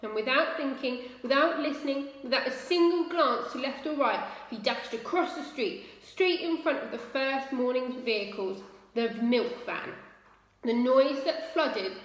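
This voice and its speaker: A woman's voice: high-pitched, measured pace. A woman reading a children's story aloud expressively, as a storyteller. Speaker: school staff storyteller. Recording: very echoey room with long reverb.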